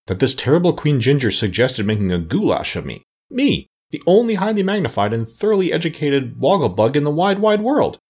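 A man voicing a pompous, self-pitying, highly educated giant bug from a children's storybook, with a wordy way of talking. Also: sing-song, brisk, darkish tone, slightly rough, heavily acted. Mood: indignant, distressed.

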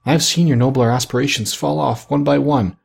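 A man voicing a gentle, sorrowful young woman who speaks softly and steadily, in an audiobook reading. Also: medium pitch, steady tone, brisk, gravelly, slightly rough, voice pushed up. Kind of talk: audiobook character voice.

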